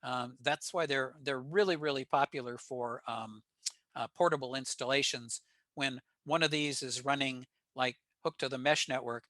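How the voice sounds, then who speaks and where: A man's voice: mid-range voice, fairly even intonation, normal speed, a bit bright, dry recording. An American man giving an informal technical slide presentation to a club. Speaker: presenter at a ham radio club meeting.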